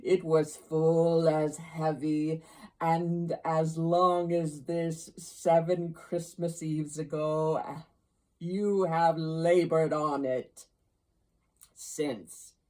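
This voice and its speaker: A woman voicing a mournful, grave male ghost in chains from a storybook, declaiming in slow, drawn-out wails. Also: deep voice, flat delivery, warm tone. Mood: mournful, forceful.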